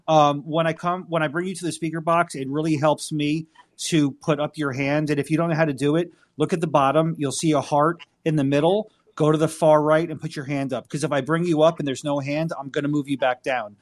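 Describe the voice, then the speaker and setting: A man's voice: fairly high pitch, steady tone, a little fast. Rambling joking male host. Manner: rambling, joking, giving listeners instructions. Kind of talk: hosting a live audio chat.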